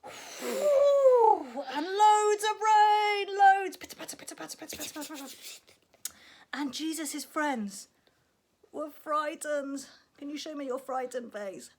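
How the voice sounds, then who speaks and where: A woman telling a story to young children in an animated, expressive way, prompting with questions and acting out sound effects. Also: sits high, takes it slowly, slight background noise.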